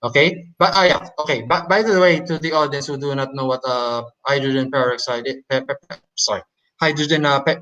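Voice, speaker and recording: A man whose first language is Hokkien Chinese, giving a presentation in English with many fillers, restarts and pauses. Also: medium pitch, fairly even intonation, a bit bright, muffled recording. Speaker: debate presenter. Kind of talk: halting explanatory presentation.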